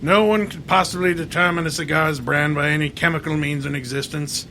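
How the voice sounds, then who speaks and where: A man's voice: fairly high pitch, fairly even intonation, fairly quick, vocal fry, pushed and bright, slight background noise. A man playing a pompous, erudite, gluttonous genius detective in a grandiloquent, theatrical old-time radio style. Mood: smug, satisfied.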